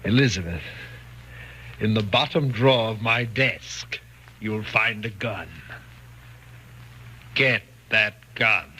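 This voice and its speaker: An actor playing a domineering, murderous hypnotist in a 1940s radio thriller, slow, commanding and coaxing. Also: male voice, fairly low pitch, pushed and bright. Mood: menacing, controlling. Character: sinister, domineering male hypnotist with murderous calm. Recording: dull, noisy 1940s radio recording.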